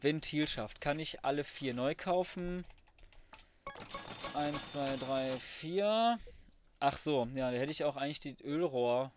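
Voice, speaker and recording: A man's voice: fairly high pitch, slow pace, slight background noise. A man giving casual let's play commentary, colloquial and mildly sweary, often muttering to himself. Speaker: gaming video host.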